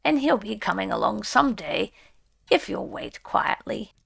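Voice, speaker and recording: A woman's voice: medium pitch, very animated, unhurried, creaky, husky, heavily acted. A woman voicing a friendly but anxious male water rat in a storybook, emphatic and evasive.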